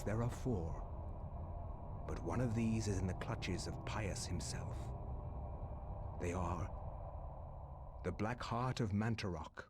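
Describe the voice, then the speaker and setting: A man voicing an older relative reading his farewell letter in a grave, measured way. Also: lowish voice. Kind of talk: read-aloud letter narration. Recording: clear background noise.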